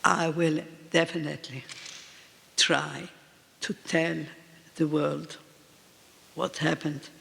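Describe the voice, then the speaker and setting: A woman in her eighties, a native Polish speaker, speaking in slow, halting short phrases as a guest at a live talk in a reverberant hall. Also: sits low, level tone, creaky, fairly bright tone, a little hiss or hum. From Poland. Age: 80s. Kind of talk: answering on stage in a live talk. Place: event hall with audience.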